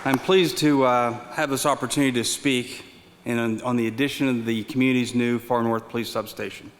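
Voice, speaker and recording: Middle-aged man, police official, formal prepared speech, measured, medium pitch, reverberant hall. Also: steady tone, a faint crowd, slight background noise. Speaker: deputy police chief.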